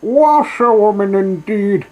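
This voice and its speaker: A man's voice: sits high, expressive, slow, warm tone, voice pushed up, rough recording. A man voicing a boastful, reckless male toad in a storybook, pompous and grandly self-praising. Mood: boastful, exultant. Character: boastful, reckless male toad, conceited motor car snatcher. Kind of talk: storybook character voice.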